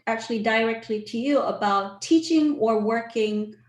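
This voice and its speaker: A woman hosting an online talk, moderating questions with soft hedging fillers. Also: fairly high pitch, normal speed, reverberant. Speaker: host, moderator.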